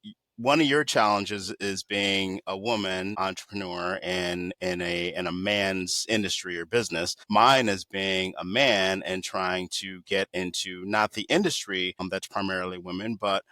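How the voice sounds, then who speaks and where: Guy hosting a coaching podcast, lowish voice but bright and forceful, lots of ums. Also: fairly even intonation, a little slow, dry recording. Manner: affirming, with frequent fillers. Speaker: podcast host, life coach. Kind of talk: podcast interview conversation.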